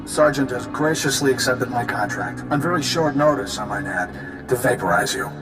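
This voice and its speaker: An actor playing a smug, mocking space rogue villain in a video game, glib and sarcastic. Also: male voice, mid-range voice, normal speed, close to an everyday voice. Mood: gloating. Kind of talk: video game cutscene acting. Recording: echoey long reverb under music.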